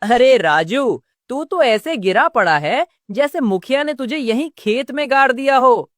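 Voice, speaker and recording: A brash young village pal in a cartoon tale, voiced by a woman. He is high-pitched and swings widely in tone, always joking and boisterous. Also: animated, unhurried, no room sound, studio quality. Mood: teasing. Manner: boisterous, teasing. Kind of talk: Hindi cartoon story acting.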